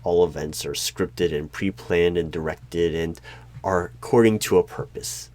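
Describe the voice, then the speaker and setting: A man playing a creepy, skeptical hiring manager in a one-sided job interview roleplay, speaking in a deliberate, probing way. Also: deep voice, no room sound, heavily acted. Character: creepy, skeptical male hiring manager of a mysterious secret organization. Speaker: roleplay performer.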